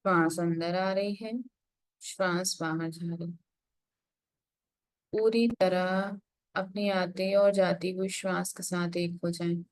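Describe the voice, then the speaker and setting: A woman leading a guided meditation, giving instructions in an unhurried way with frequent pauses. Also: fairly low pitch, fairly even intonation.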